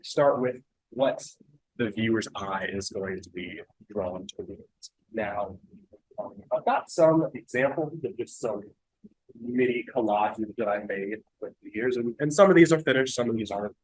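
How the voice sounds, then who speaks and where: A man's voice: fairly low pitch, a little slow, slightly rough, no room sound, rough recording. A young man from North Carolina giving a casual art demonstration, sounding nasal from a cold and chatting loosely with lots of fillers, recorded in his studio over earbuds. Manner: relaxed, chatty, with many fillers and restarts. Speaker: mixed-media artist giving a demo. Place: home art studio, indoors.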